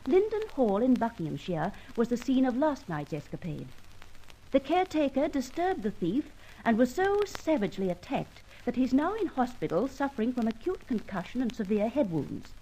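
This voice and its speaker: Posh-formal british gal in old radio play, sceptical, mellow but lively, kinda high voice. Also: female voice, moderate pace, slight background noise, low-quality recording. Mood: grave reading aloud. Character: sceptical, concerned female confidante of a gentleman thief. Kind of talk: old-time radio drama acting.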